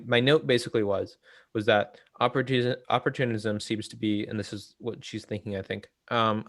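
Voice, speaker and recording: A young man with a fairly low voice, recorded dry and close. He talks casually about political theory as a co-host, hedging and feeling his way through each thought. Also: dry recording. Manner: halting, hedging, thinking aloud. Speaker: co-host of a book read-along series.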